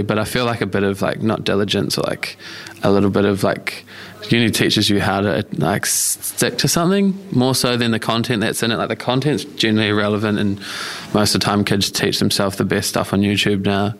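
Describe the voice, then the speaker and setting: A man's voice: on the low side, steady tone, fairly quick, few pauses. A young man with a New Zealand accent giving casual, reflective answers as an interview guest, in an informal and hedging way. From Australia and New Zealand. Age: young adult. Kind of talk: casual chat.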